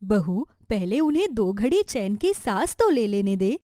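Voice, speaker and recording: A woman's voice: medium pitch, big pitch swings, a little slow, dark tone, dry recording, clean studio sound. A woman playing a wronged old cartoon mother-in-law. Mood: gentle. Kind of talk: Hindi cartoon story voice acting.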